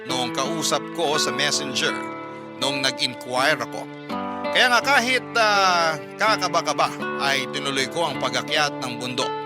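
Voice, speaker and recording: A Filipino man narrates a first-person letter in the style of a radio storyteller. His voice sits somewhat high for a man, and prominent music plays behind him throughout. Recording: loud music bed under narration.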